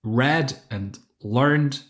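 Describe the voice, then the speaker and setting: A man speaks English as a podcast guest, his voice sitting in an ordinary middle pitch. He talks in a halting, casual way, full of ums and self-corrections. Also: a bit bright. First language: German. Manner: hesitant, many fillers and restarts. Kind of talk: casual interview talk.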